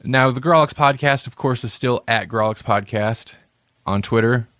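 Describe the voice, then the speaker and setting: A man's voice: mid-range voice, normal speed, fairly bright tone, dry recording, clean studio sound. A male podcast host in casual banter, playful and rambling, laughing often.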